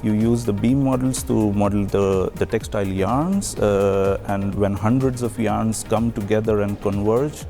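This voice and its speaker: A man from India, a doctoral researcher, giving recorded interview answers in a measured way with many pauses and fillers. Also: on the low side, creaky, warm tone, faint music behind. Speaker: PhD researcher.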